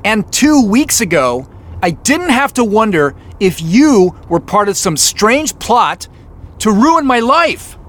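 A man's voice: sits high, very animated, slow pace, a bit bright, heavily acted. Actor playing a stressed, suspicious man on the run. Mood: agitated. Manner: sarcastic, agitated. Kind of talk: audio drama acting with first-person narration.

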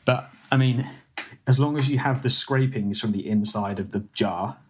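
A man's voice: medium pitch, moderate pace. A young man, a food editor and ex-baker, explaining casually with lots of "like" and restarts. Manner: rambling, hedging with "like" and restarts.

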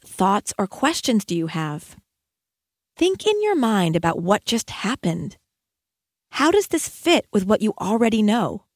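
A woman educator reading her own audiobook as scripted narration, with measured, emphatic phrasing and deliberate pauses. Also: medium pitch, darkish tone, no echo, studio quality.

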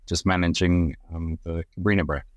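Deep-voiced New Zealand man, relaxed. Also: normal speed, no room sound. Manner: relaxed, rambling with many restarts and fillers. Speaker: guest, brand director. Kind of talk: casual podcast interview.

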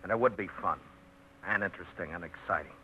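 A deep-voiced coaxing young trucker. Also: male voice, quick pace, bright, forceful tone, slight background noise. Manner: coaxing, playful. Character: confident young male trucker courting his girl. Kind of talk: 1950s radio drama acting. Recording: muffled 1950s radio broadcast.

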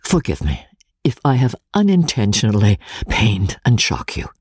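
Man acting earnest, husky English gentleman. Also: lowish voice, huge pitch swings, moderate pace, full character voice. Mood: earnest appeal. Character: earnest English gentleman, firm and remorseful, pleading. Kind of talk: dramatic audiobook reading.

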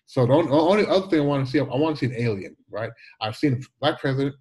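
Brief: Jamaican-accented man, 40s, husky, slight lisp, animated storyteller who restarts his words. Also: mid-range voice, racing. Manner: animated, repeats words and restarts, laughs easily. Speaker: guest. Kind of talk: conversational storytelling interview.

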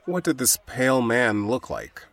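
A dutiful young city guard played by a man with a medium-pitched voice in a dry, close recording. He speaks in a measured, formal, by-the-book way, as in a fantasy audio drama. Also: huge pitch swings, creaky, dry recording. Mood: probing.

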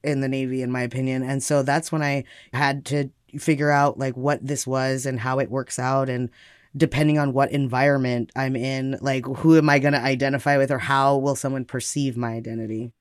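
A man's voice: medium pitch, flat delivery, a little fast, smooth, no room sound, clean studio sound. A man with an American accent telling personal stories reflectively and conversationally as an interview guest.

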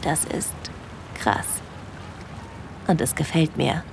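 A woman playing a shy yet bold young cruise guest in an intimate audio drama, speaking softly and hesitantly. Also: low voice, slow, creaky, background noise, exaggerated voice. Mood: intimate musing.

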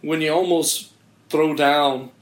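Hoarse man rambling, echoey room. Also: a little high, steady tone, slow. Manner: rambling, swears freely, laughs at his own mishaps. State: hoarse from a lost voice. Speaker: podcast host. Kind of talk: casual profane chat.

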